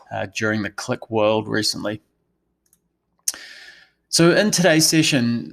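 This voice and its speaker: Kiwi guy doing a work webinar, kinda low voice, measured and explaining stuff like a consultant. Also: gravelly, fairly bright tone. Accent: New Zealand. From New Zealand. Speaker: analytics consultant presenting a session. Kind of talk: prepared webinar presentation.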